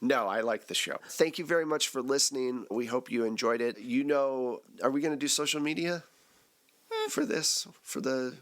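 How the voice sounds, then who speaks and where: A man's voice: mid-range voice, moderate pace, a bit bright. Casual man hosting a podcast. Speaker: podcast host and game master. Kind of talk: casual post-game debrief.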